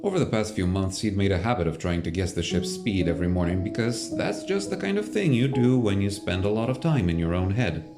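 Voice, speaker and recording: Deep, expressive male narrator. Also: a little fast, few pauses. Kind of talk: scripted audio drama narration. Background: music.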